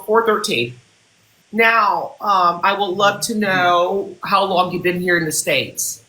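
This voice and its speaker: A woman's voice: fairly low pitch, slow pace, bright, forceful tone. A woman hosting a livestream as a mock CEO, interviewing candidates in a pompous, mock-serious manner over a phone call. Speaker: host playing a CEO. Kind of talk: improvised comic roleplay interview.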